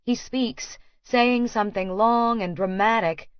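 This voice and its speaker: A woman's voice: a little high, measured pace, clean tone, dry recording, dull audio. A woman reading scripted first-person fiction as an audiobook narrator.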